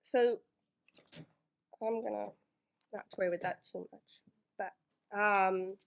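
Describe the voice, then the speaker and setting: A woman's voice: medium pitch, steady tone, takes it slowly, vocal fry. A woman from New York reading her own poems to a live audience in a library, a little nervous, with many fillers between pieces. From New York, United States. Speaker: featured poet. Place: public library event room. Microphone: microphone in front of a live audience.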